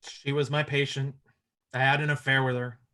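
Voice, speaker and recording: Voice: mid-range voice, level tone, moderate pace, pushed and bright, natural voice. An actor playing an earnest professor, one of the suspects in a comedy murder mystery table read. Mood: earnest, confessing. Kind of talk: live table read of a comedy film script.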